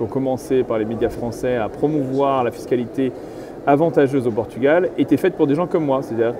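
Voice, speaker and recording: A middle-aged man giving spontaneous interview answers as a property consultant, explaining things step by step. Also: mid-range voice. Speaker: real estate agent and consultant being interviewed. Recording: clear urban background noise, trade fair interview.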